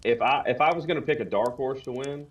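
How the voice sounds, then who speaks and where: A man's voice: medium pitch, quick pace. A man co-hosting a podcast, chatting casually about sports in a colloquial, opinionated way. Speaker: podcast co-host.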